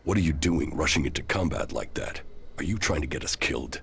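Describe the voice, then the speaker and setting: An actor playing a stoic, brooding exiled sci-fi commander with a gravelly voice and a terse, solemn manner in a video game. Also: male voice, extremely low pitch, lively intonation, brisk, fairly bright tone, a little hiss or hum, exaggerated voice. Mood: annoyed. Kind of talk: video game cutscene acting.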